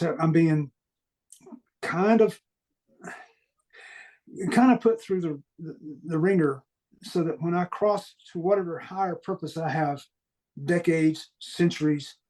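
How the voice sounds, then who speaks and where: A man with a mild Southern US accent, speaking earnestly and haltingly as he reflects on his own experiences in a conversational interview. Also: on the high side, a little mellow, low-quality recording. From American Deep South. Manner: earnest, halting, repeats phrases for emphasis. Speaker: podcast guest recounting paranormal experiences.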